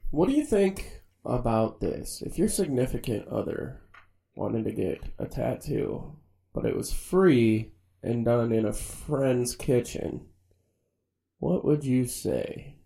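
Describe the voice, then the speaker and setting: A man's voice: medium pitch, expressive, vocal fry, dark tone. A young man co-hosting a podcast, joking and asking questions with casual swearing. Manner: jokey, quick questions, swears freely. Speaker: podcast co-host. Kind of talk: casual chat.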